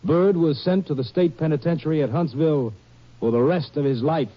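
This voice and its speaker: A man's voice: fairly high pitch, brisk, dull audio, over loud music. A man narrating the outcome of a case in a matter-of-fact manner for an old radio drama.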